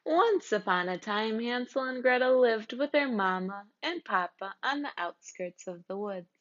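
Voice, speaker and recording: A woman's voice: on the high side, animated, moderate pace, muffled recording. A woman teacher reading a children's storybook aloud and explaining words to children.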